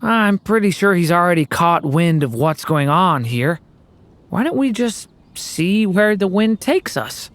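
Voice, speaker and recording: Someone voicing a calm polite young companion. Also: medium pitch, unhurried. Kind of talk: game voice acting. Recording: game audio with music behind.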